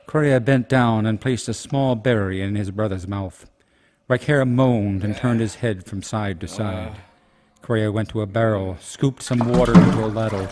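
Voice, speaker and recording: Low-voiced man narrating a fantasy tale. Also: background noise. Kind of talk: scripted dramatic story narration.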